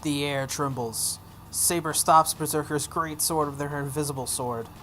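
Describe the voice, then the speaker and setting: A woman's voice: low-pitched, fairly even intonation, normal speed, fairly bright tone. A woman hosting a video game playthrough, reading a visual novel aloud expressively with offhand casual asides. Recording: some background noise.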